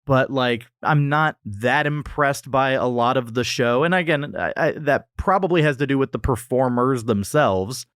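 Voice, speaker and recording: A man's voice: mid-range voice, normal speed, hardly any pauses, a bit bright, clean tone, no room sound, clean studio sound. A man co-hosting a podcast, casually reviewing things in a wry, joking way. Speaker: podcast co-host. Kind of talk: casual comedic review chat.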